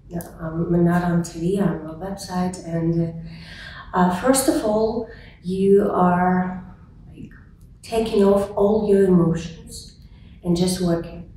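A woman's voice: fairly low pitch, fairly even intonation, slow, dark tone, far from the mic, slight background noise. A Ukrainian woman who edits a news website, speaking English with a Ukrainian accent, hesitant and full of fillers. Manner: hesitant, simple phrasing with fillers. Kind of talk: interview answers in English. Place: large conference room indoors. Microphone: distant mic, seated wide shot. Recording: echoey room, mic set off.

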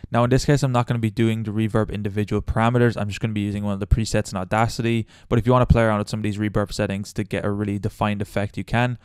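A man's voice: on the low side, little pitch movement, very fast, hardly any pauses, darkish tone, clear, resonant tone, dry recording, studio quality. A young man hosting a casual step-by-step tutorial as a voice-over. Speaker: tutorial host.

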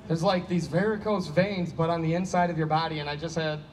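A man's voice: fairly high pitch, fairly even intonation, a little fast, lightly acted. A man in his thirties in a staged play reading, playing a troubled, apologetic, self-doubting heavy drinker trying to stay sober. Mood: wry, apologetic. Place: stage before a painted block wall. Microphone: handheld microphone. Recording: distant stage pickup with ambient noise.

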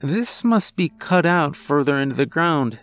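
A man's voice: on the high side, expressive, a little slow, fairly bright tone. A man voicing a baffled, cautious police officer in an audiobook story, speaking casually and a little hesitantly. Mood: musing. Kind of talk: audiobook character voice.

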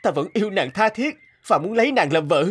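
A man's voice: sits high, lively intonation, brisk, a bit bright. An actor playing an earnest young king in a fairy-tale cartoon, declamatory, commanding and tender. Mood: remorseful, tender. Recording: music under the voice.

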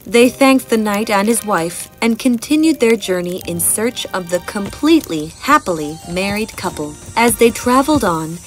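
A woman's voice: mid-range voice, animated, normal speed, hardly any pauses. A woman reading a scripted fairy tale as a storyteller. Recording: music bed behind the narration.

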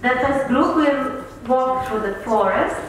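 A woman's voice: high-pitched, lively intonation, unhurried, slight background noise. A woman presenting at a conference, describing a planned activity in a plain, explanatory way. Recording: echoey hall, voice from a distance.

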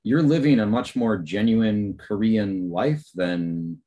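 Low-voiced man, loose chatty talk. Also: expressive, slow, dark tone. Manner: loose, filler-heavy talk. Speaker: podcast co-host. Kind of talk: casual chat.

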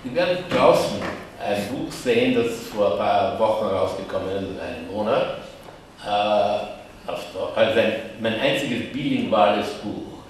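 A man, musing slowly and wryly as a guest author in a café discussion, often correcting himself. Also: on the low side, a little hiss or hum. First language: German. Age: 80s. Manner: slow, musing, self-correcting, wry. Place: crowded café, indoors. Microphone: distant camera mic. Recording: echoey room from far off.

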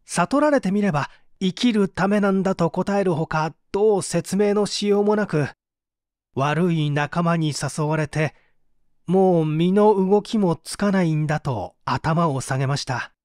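A man's voice: sits high, a little slow, darkish tone, no echo, studio quality. A man playing an anguished painter confessing to an interrogator in a polite, emotive radio-drama reading. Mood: remorseful, subdued. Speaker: narrator and reader.

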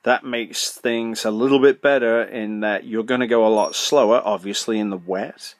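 A middle-aged podcast host giving practical, direct advice, often asking himself questions and then answering them. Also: male voice, on the low side, fairly even intonation, moderate pace, no echo. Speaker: podcast host, motorcycle suspension tuner. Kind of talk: solo podcast advice talk.

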